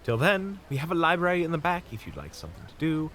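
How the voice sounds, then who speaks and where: A man's voice: a little high, very animated, fast, a bit bright, a little hiss or hum. A man playing a sociable, chatty monster hunter with polite, eloquent speech in an audio roleplay drama. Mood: courteous, reasonable.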